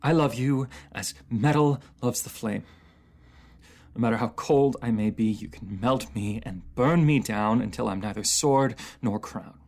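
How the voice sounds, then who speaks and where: A man's voice: medium pitch, measured pace, a little mellow, close to an everyday voice. A man playing an anguished, proud enemy ruler in a fantasy audio roleplay, speaking in formal, theatrical phrases that halt with emotion. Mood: anguished, lovesick. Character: captive enemy ruler, anguished, proud, lovesick, under truth potion.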